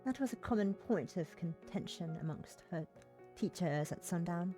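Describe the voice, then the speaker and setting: A woman's voice: lowish voice, lively intonation, moderate pace, dark tone. Woman, game streamer, read-aloud narration, casual asides, stumbles and rereads, music behind. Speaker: livestreamer reading a visual novel aloud.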